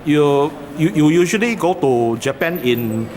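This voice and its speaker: Hesitant Singaporean man, slightly high voice. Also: expressive, a little slow, crowd noise. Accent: Singaporean English. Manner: hesitant, with many fillers and restarts. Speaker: vlogger filming a video shoot. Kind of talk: casual chat while filming. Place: corridor of a train station.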